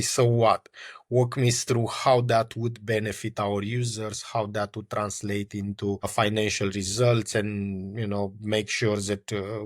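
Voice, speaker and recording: A man talks through his answers in a low voice, pausing often on fillers and starting phrases over. The sound is dry and close, with no room around it. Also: dry recording. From Romania. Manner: hesitant, many fillers and restarts. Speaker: startup founder and guest. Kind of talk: conversational interview answers.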